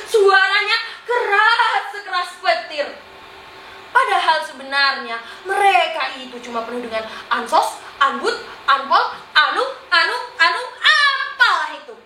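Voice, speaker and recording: A young woman acting a boastful, mocking self-styled artist in a theatrical stage monologue, exaggerated and taunting, with drawn-out, singsong words. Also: extremely high pitch, lively intonation, pushed and bright, full character voice. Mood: mocking, scornful. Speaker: student stage actor in a monologue competition. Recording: roomy echo, faint background noise.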